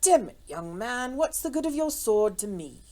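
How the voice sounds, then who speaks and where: A woman voicing a lazy, foppish, imperturbable English gentleman with a British accent and a blandly amused drawl in an audiobook. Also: fairly high pitch, animated, slow pace. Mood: sleepy, good-humoured. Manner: drawling, blandly amused, affected upper-class exclamations.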